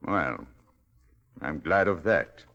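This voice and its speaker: An actor playing a suave, manipulative husband in 1940s radio drama style, condescending and commanding by turns. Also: male voice, extremely low pitch, animated, slow pace, pushed and bright, muffled recording, heavily acted. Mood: smooth, condescending. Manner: smoothly condescending, shifting to stern commands. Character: suave, controlling, manipulative husband and master of the house.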